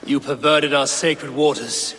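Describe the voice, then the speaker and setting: An actor playing a stern, commanding Norse high god in a video game, speaking in a measured, formal, authoritative way. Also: male voice, fairly even intonation, a bit bright. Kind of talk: video game cutscene acting. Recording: long reverb, music behind.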